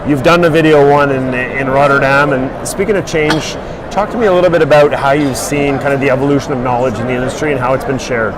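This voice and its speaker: A man's voice: mid-range voice, steady tone, quick pace, poor audio. Male interviewer on a crowded show floor. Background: crowd chatter.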